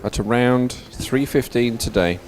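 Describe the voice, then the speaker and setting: A man's voice: mid-range voice, a little slow, a little mellow. A man co-hosting live race commentary and reading out results, talking in an enthusiastic, wordy way. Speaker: sports commentator, co-host.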